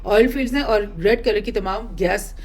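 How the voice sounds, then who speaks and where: A woman gives informal news commentary as a host, explaining and rephrasing her points in a halting way. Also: medium pitch, darkish tone. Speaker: host presenting news analysis.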